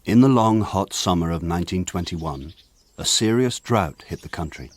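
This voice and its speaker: An actor playing a reflective narrator who recalls village childhood, telling it in measured literary narration for a radio drama. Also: male voice, deep voice, animated, dry recording. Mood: reminiscent. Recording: clearly noisy background.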